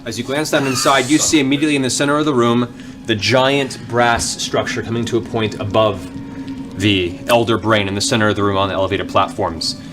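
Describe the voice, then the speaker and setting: A man's voice: medium pitch, steady tone, a little fast. A man working as game master, narrating a tabletop game with dramatic, descriptive storytelling.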